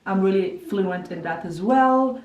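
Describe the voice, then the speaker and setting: A woman's voice: mid-range voice, measured pace, dull audio. Woman, echoey room. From Kazakhstan. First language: Kazakh. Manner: chatty, relaxed, many "like" fillers. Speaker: vlogger giving a home tour. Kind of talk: casual house tour narration. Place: home living room and bedroom. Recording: echoey room, mic at a distance.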